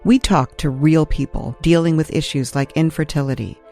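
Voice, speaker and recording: A woman's voice: low-pitched, fairly even intonation, moderate pace, darkish tone. A woman podcast host reading a scripted trailer for a show. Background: music.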